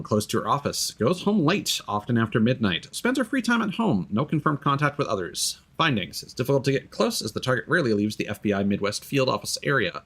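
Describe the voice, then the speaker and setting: Animated man doing game stream commentary. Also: fairly low pitch. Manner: playful, profane, expressive. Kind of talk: gameplay stream commentary with dramatic reading aloud of in-game documents.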